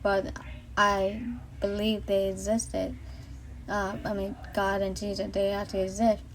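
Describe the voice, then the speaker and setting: A woman's voice: mid-range voice, completely flat delivery, a little slow, slight background noise, low-quality recording. A woman in her late thirties from the Boston area, with a Boston accent, telling her personal faith story in an earnest, rambling way with many fillers and restarts, recorded on a phone. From Boston area, USA. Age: late 30s. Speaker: vlogger sharing her faith testimony. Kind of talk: casual spoken testimony. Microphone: iPhone.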